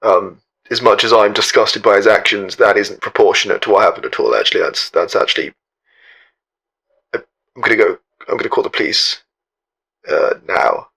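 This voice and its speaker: Man playing a bright-voiced spaced-out gap-year guy. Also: fairly low pitch, moderate pace, vocal fry, pauses often. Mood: dismayed. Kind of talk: mock documentary interview, comic improvised monologue.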